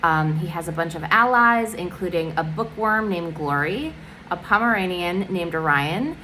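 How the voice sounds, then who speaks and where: Low-pitched, upbeat woman author. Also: lively intonation, moderate pace, bright, forceful tone, slight background noise, low-quality recording. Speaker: children's book author. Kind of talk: promoting her book to the camera.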